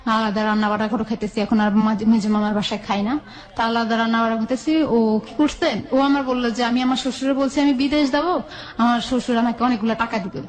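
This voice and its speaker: A woman's voice: a little high, fairly even intonation, fast talker. A woman with a Bangladeshi accent telling her own life story in a colloquial, conversational way, often quoting dialogue back and forth.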